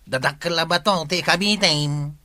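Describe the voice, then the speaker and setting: A grumbling, bantering villager in an Ilocano radio comedy drama. His voice is piercingly bright and forceful, sitting somewhat high for a man. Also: measured pace.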